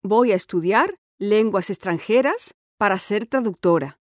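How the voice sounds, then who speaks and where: A confident, sporty teenage girl , voiced by a woman, who talks eagerly about school and books. Her pitch sits in an ordinary middle range. The studio recording is dry and close. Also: animated, a little slow, dry recording, close to an everyday voice. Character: cheerful sporty sixteen-year-old schoolgirl. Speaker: interviewee in an exam listening dialogue. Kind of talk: scripted dialogue for a listening test.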